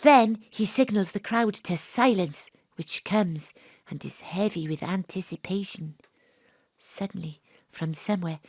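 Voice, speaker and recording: A woman acts out a self-assured female investigator in an audio drama. She tells her adventure in the first person with dramatic storytelling flair, at a medium pitch. The sound is close and dry. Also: no room sound. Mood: suspenseful, wry. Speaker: lead narrator.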